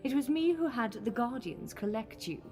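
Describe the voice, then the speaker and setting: An imperious false goddess of an audio drama, played by a woman. Her voice sits fairly high and is silky, scheming and condescending, over a light music bed. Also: expressive, darkish tone, lightly acted. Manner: commanding, slow condescending endearments.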